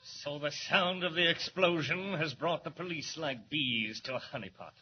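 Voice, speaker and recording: A man's voice: fairly high pitch, muffled recording, natural voice. Menacing criminal mastermind, acted by a man, vintage radio. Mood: grim, commanding. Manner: formal, deliberate, threatening. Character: menacing male criminal mastermind, cold and commanding. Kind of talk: 1940s radio acting. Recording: noisy, band-limited old radio recording.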